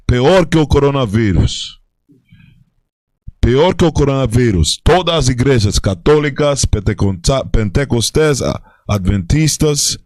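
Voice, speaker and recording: A man with a Spanish accent leading a live stream, greeting viewers by name and speaking halting, self-correcting Portuguese mixed with Spanish words, turning fiery and exhorting when preaching. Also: mid-range voice, darkish tone, dry recording. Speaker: religious group leader answering viewers.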